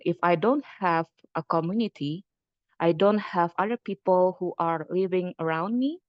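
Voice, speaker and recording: A woman from Indonesia giving a webinar lecture as a lecturer, speaking conversationally with frequent fillers and pauses. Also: lowish voice, no echo, highs cut off. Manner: hesitant, many fillers, conversational. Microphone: computer mic on a video call.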